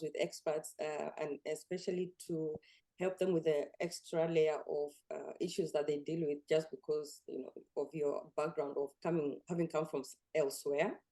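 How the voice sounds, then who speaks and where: A woman's voice: low-pitched, warm tone. A woman from Kenya hosting an online coaching webinar, speaking with frequent hesitations. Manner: hesitant, many fillers. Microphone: video call.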